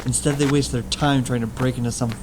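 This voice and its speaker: An actor plays a frustrated young man with a medium-pitched voice. He grumbles scornfully in audio drama style, with noticeable ambience behind him. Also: steady tone, quick pace, background noise, close to an everyday voice. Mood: bitter, frustrated. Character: bitter, frustrated young man searching ruins.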